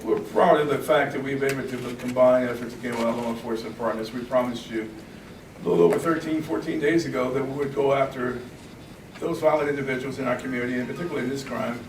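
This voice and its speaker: A man's voice: medium pitch, steady tone, quick pace. A man, a police chief, speaking at a press conference while losing his voice to a congested nose, earnest and repeating words as he goes. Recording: echoey room, distant mic, background noise.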